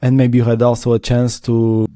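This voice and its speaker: A male host asking an interview guest gentle questions, with short backchannels. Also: medium pitch, completely flat delivery, normal speed, no room sound, studio quality.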